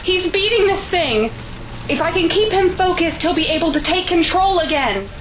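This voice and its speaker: A woman's voice: extremely high pitch, animated, normal speed, noisy background. A woman acting a stubborn, fiercely protective mother in an audio drama, emotional and insistent. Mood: urgent, determined.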